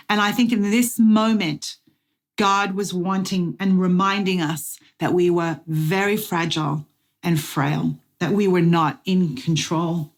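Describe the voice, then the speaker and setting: Slow, earnest woman speaker. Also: medium pitch. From Australia. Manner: slow, deliberate and earnest, with dramatic pauses. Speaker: guest speaker. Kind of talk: testimony sermon.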